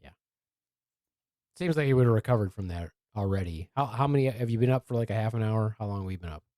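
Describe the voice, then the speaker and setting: A man's voice: on the low side, fast talker, warm tone, no echo, clean studio sound. A man hosting a podcast, chatting casually and teasing his co-host in a rambling way.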